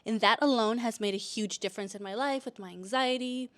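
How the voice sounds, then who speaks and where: A woman's voice: fairly high pitch, a little fast, a bit bright. A woman in her thirties, born in El Salvador and raised in California, chatting casually to camera in a vlog, with lots of fillers and restarts. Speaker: vlogger.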